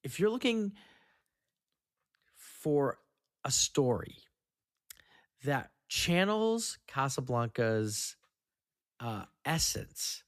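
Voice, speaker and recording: A man hosting a casual film podcast, running through trivia in a halting way and stumbling over words now and then. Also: mid-range voice, sing-song, no echo, studio quality. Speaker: podcast host. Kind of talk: casual film trivia rundown among co-hosts.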